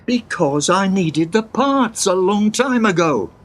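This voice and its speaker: A man's voice: sits high, expressive, takes it slowly, exaggerated voice. An older man playing an eccentric old grandfather and inventor in a radio play, wry, teasing and theatrically portentous. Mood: wistful, lecturing.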